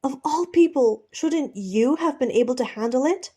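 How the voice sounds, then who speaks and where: A woman voicing a desperate, apologetic gentleman pirate with an earnest, pleading manner in a storybook reading. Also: high voice, animated, normal speed, slightly rough, voice pushed up.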